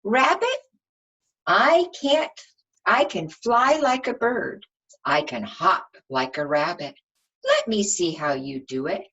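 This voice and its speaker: A woman's voice: medium pitch, animated, takes it slowly, breathy edge, dull audio, voice pushed up, exaggerated voice. A woman reading a storybook and voicing an eager, imaginative young boy who calls out to farm animals in a singsong way. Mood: eager, calling out. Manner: calling out brightly, singsong address.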